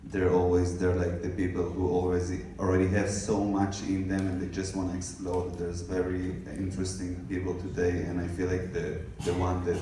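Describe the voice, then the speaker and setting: A man's voice: low voice, monotone, normal speed, few pauses, mellow, a little hiss or hum. A young man from Israel with an Israeli accent, a filmmaker answering questions at a live Q&A, hesitant with many fillers. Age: young adult. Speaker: filmmaker guest. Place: large cinema stage. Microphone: handheld mic heard from the audience. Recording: echoey theater recorded from far.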